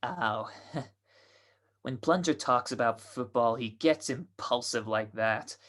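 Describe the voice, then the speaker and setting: A woman acts out a young, possessive girlfriend in an old-time radio mystery. Her voice is pitched low for a woman. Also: slow pace, exaggerated voice. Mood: sweet, wary. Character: possessive young female sweetheart. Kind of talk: 1940s radio mystery acting.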